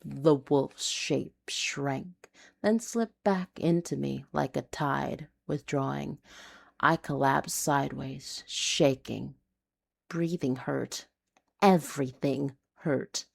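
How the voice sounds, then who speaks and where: A woman narrating a scripted noir fantasy story in an intense, deliberate, confessional manner. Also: a bass voice, creaky.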